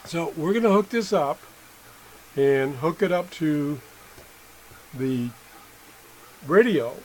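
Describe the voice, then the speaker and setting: A middle-aged man giving an unscripted hands-on demonstration as an amateur tester, halting and correcting himself as he thinks aloud. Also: a little high, animated. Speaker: amateur product tester and video host. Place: indoor room at a workbench. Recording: noisy room with background sound.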